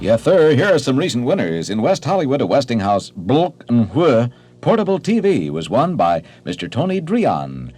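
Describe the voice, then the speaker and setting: A man's voice: medium pitch, wildly swooping pitch, moderate pace, a little mellow, soft background music. A man hosting an old radio show as a disc jockey, with chatty announcer patter.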